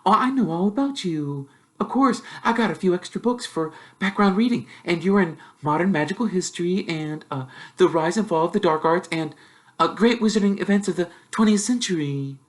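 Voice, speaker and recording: A man voicing a bossy, know-it-all young girl witch who chatters in a prim, brisk, self-assured way. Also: sits high, pitched above the performer's own voice, lightly acted. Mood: bossy, chatty.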